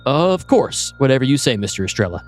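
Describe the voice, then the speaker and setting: A man voicing an older police chief in an audio drama, courteous, measured and politely evasive. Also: mid-range voice, sing-song, a little mellow, faint music behind, lightly acted. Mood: placating. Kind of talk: audio drama table-read acting.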